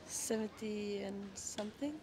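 A woman's voice: mid-range voice, little pitch movement, measured pace. A woman in her twenties answering a street interviewer and reciting, recorded in a shopping mall. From Serbia. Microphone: interviewer's small handheld mic. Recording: busy mall crowd noise.

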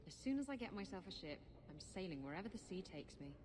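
A woman's voice: lowish voice, very animated, fairly quick, slight background noise. An actress playing a roguish, playful woman pirate in a fantasy video game. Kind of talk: video game dialogue acting. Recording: quiet echoing game audio with music.

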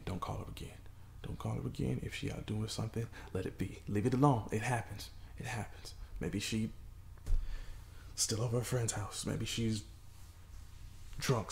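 A man's voice: fairly low pitch, fairly even intonation, moderate pace, vocal fry, a little hiss or hum. A man acting a jealous, anxious boyfriend in a song skit, swearing and wavering between panic and anger. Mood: agitated. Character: jealous anxious boyfriend waiting up all night.

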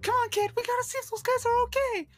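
A man voicing a gruff, streetwise male agent who bosses his young partner around. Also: very high-pitched, fairly even intonation, fast talker, faint music behind, voice pushed up. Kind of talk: improvised game voice acting.

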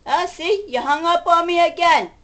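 A woman's voice: very high voice, moderate pace, background noise. A middle-aged woman ranting casually in a combative, sarcastic manner, recorded on a webcam in a home kitchen. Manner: agitated, combative, sarcastic, profane. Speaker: vlogger. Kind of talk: confrontational ranting to camera, casual.

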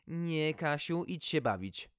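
A man voicing a brisk, motherly, unflustered hostess with a melodious tone in a storybook tale. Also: a little high, lively intonation, clean tone, no echo, clean studio sound, pitched above the performer's own voice.

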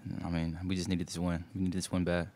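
A man's voice: deep voice, monotone, a little mellow, dry recording. A young man, a college basketball player answering questions at a postgame press conference into a table mic, loose and full of fillers. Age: young adult. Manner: loose, filler-heavy, speaks in quick bursts. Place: press room in front of a sponsor backdrop.

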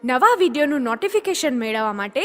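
A woman's voice: high-pitched, sing-song, fast talker. A woman narrating a story for children as a storyteller, addressing young listeners directly.

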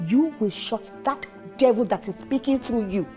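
A woman's voice: a little high, moderate pace, mellow, faint music behind, close to an everyday voice. A woman playing a strict, preachy mother who scolds her son. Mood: scolding. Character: strict, furious, pious mother.

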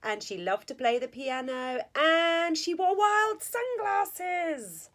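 A middle-aged woman reading a rhyming children's picture book aloud with an expressive, sing-song delivery. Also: very high-pitched, slow. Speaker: school staff storyteller. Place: small school office or staff room, indoors. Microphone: phone or tablet at arm's length.

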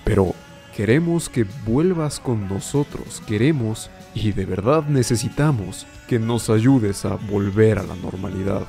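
A man playing a flippant, self-important superhero with an insistent manner in an animated fan film. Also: fairly low pitch, unhurried, creaky, faint music behind. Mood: pleading. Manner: insistent, boastful.

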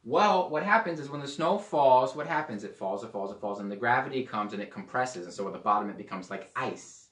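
A young man preaching a sermon at a whiteboard, lively and asking his audience questions. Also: medium pitch, brisk, far from the mic. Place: indoor room with whiteboard and podium. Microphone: far camera. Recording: roomy echo, voice away from the mic.

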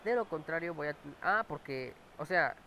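A Mexican man with a cold talks casually over his own match, exclaiming and cursing at each play. His voice sits a little high. A clip-on mic close to his mouth picks him up, with clear noise behind him. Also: animated, moderate pace, sharp tone, rough recording. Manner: chatty, exclaims and swears at plays. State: has a cold. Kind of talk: casual gameplay chat. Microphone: clip-on mic near his mouth.

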